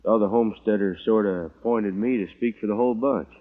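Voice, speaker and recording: A man's voice: on the low side, quick pace, phone-quality sound, natural voice. A man acting a plain-spoken, insistent homesteader in a 1950s radio western. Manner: rustic, folksy speech. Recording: thin, noisy old radio recording.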